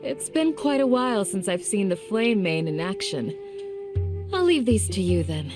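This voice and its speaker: A woman voicing a young village guardian in a game, calm, courteous and firm, with polite, measured phrasing. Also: medium pitch, music in the background, close to an everyday voice. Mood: composed.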